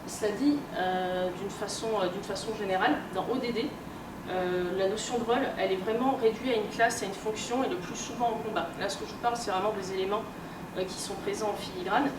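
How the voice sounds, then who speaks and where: A woman's voice: medium pitch, brisk. A woman gives an informal explanatory lecture at a convention, hesitant and colloquial, often correcting herself. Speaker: conference speaker, researcher and editor. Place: live talk in a room at a convention. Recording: roomy, distant, noisy live conference recording.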